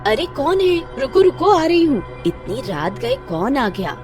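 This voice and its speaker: An unyielding village housewife played by a woman narrator speaks in alarm, firmly refusing. Her voice is fairly high and swings widely, cartoon style, with faint music behind. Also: sing-song, unhurried, darkish tone. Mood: wary, refusing. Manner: alarmed, firm. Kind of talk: Hindi horror cartoon character voice.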